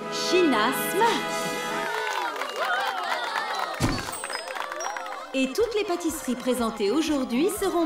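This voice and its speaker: A woman's voice: high voice, reverberant, far from the mic. A woman voicing a cheerful cartoon school fête announcer, formal and emphatic, building suspense. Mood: ceremonial. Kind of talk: ceremonial announcement in a French cartoon.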